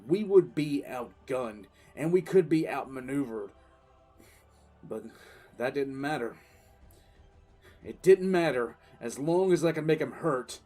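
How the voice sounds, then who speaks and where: Casting: male actor as weary but resolute sci-fi commissar, reflective audiodrama storyteller, deliberate and emphatic, music underneath. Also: medium pitch, animated, mellow. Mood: fierce resolve. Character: weary, resolute military commissar recounting his past war. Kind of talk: dramatic first-person audiodrama narration.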